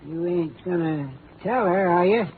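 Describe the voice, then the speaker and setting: A man's voice: high-pitched, measured pace. Simple-minded, menacing old man, tinny recording. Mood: confiding, menacing. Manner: childlike, rambling, repeats himself. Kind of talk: 1950s radio drama acting. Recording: tinny, noisy 1950s radio broadcast.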